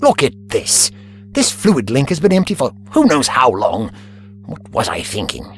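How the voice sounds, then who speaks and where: A man's voice: mid-range voice, huge pitch swings, moderate pace, slightly rough, soft background music. A man playing an aloof, vain time-travelling inventor in an audio drama, speaking in a formal, pompous, dismissive way. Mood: distracted, preoccupied.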